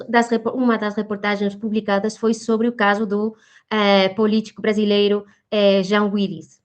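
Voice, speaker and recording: A woman whose first language is Spanish speaks in a Spanish-accented Portuguese with a smooth, clean tone. She gives her workshop talk haltingly, filling pauses as she hunts for words. The sound is dull and lo-fi, like audio from an online call. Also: mid-range voice. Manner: hesitant, many fillers, searching for words. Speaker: guest speaker, media educator.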